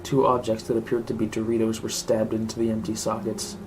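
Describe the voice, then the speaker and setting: A man's voice: on the low side, monotone, brisk, a little mellow. A man reading a scripted horror story aloud as narrator. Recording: clearly noisy home recording.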